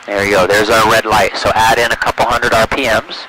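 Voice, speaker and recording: A man's voice: fairly low pitch, little pitch movement, fairly quick, low-quality recording. A man working as a flight instructor, giving encouraging step-by-step directions over a headset in a small plane. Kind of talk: calm step-by-step flying instruction with radio calls. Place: small airplane cockpit in flight. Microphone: aviation headset. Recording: loud aircraft cabin noise, intercom audio.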